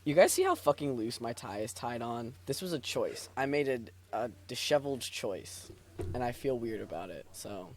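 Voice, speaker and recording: A man's voice: mid-range voice, sing-song, moderate pace. A man co-hosting a casual livestream, riffing and joking with plenty of swearing. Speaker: stream co-host.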